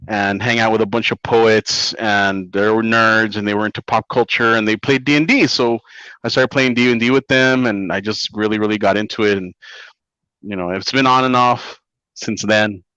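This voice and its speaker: A man whose first language is Spanish talks in an easygoing, meandering way, with many fillers as he tells his stories. His voice is lowish. The sound is dry and a little dull, like a lo-fi call. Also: normal speed, clean tone, no echo. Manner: relaxed, rambling storyteller with many fillers. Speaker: interview guest, comic writer. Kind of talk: casual interview conversation.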